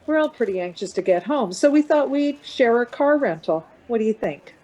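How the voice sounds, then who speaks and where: A woman's voice: fairly high pitch, animated, moderate pace, darkish tone, poor audio. A woman playing a weary, anxious but reasonable middle-aged mother in conversation in a radio play. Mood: anxious, patient.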